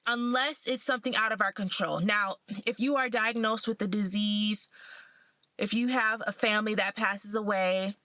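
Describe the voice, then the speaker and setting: A woman's voice: mid-range voice, fairly even intonation, normal speed, pushed and bright, no echo. A young woman who sounds a bit congested, talking in a casual, upbeat and encouraging way to her vlog followers. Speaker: vlogger.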